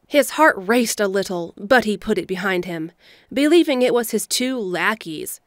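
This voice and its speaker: A woman reading scripted story narration in a measured, storybook delivery, as the narrator of an audio drama. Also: medium pitch, lively intonation, no room sound, studio quality. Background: music.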